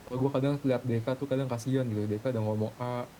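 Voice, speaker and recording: A man's voice: medium pitch, steady tone, fast talker, dark tone, a little hiss or hum. A man talking casually and slangily in an online gaming group meeting, airing complaints. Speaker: roleplay gamer in a group meeting. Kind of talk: casual in-game meeting talk.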